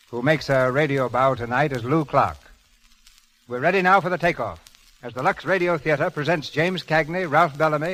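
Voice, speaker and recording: A man's voice: on the high side, fairly bright tone, poor audio. A man delivering scripted announcements and narration in a 1930s radio show style, on an old broadcast recording.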